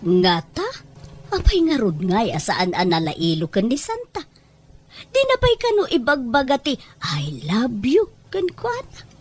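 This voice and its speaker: A woman's voice: fairly high pitch, wildly swooping pitch, a little slow, full character voice. A woman with an Ilocano Filipino accent acting a happy, boastful older mother in a homespun audio drama. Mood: teasing, probing. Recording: faint background noise.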